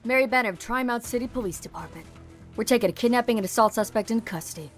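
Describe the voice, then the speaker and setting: A woman's voice: a little high, very fast, close to an everyday voice. A woman voicing a hard-nosed police officer with a commanding, bitter edge. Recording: dry close mic, faint music.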